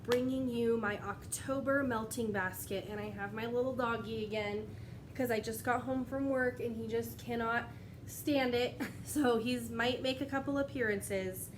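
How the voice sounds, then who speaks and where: A woman's voice: fairly high pitch, steady tone, normal speed, a little hiss or hum, poor audio. A young woman vlogging casually from home, chatty and rambling, a little stuffy from a cold, often laughing between thoughts. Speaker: wax melt vlogger. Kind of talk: casual chat to camera. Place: at home, indoors. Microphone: tablet camera.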